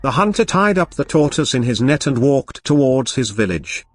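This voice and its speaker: A man's voice: mid-range voice, big pitch swings, normal speed, no echo, faint music behind. A man narrating a scripted children's moral story as storyteller.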